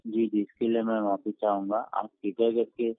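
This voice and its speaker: A man's voice: lowish voice, flat delivery, normal speed, dry recording. An Indian man working as a customer care agent on a phone call, speaking in a polite, apologetic, placating way. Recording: tinny, dry phone line.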